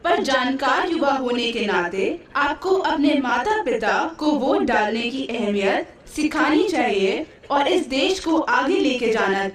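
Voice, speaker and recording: A woman playing an earnest, instructive schoolteacher in a puppet show, explaining deliberately. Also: high voice, lively intonation. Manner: didactic, deliberate. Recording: very echoey hall, distant mic.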